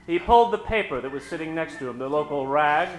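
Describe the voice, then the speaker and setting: A man's voice: on the high side, expressive, fast talker, poor audio. A man narrating an old-time radio comedy as a storyteller. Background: music.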